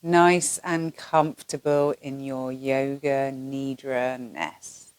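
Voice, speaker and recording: A woman with a low voice leads a guided yoga nidra meditation. She speaks very slowly and gently, leaving long pauses between soothing phrases. The recording is close and dry, with little sense of the room. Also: clean tone, no echo.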